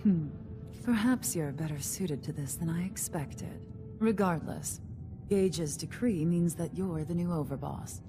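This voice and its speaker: An actress playing a haughty, calculating gang leader in a video game, refined, condescending and sardonic. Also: female voice, lowish voice, unhurried, over music, lightly acted. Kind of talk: video game dialogue acting.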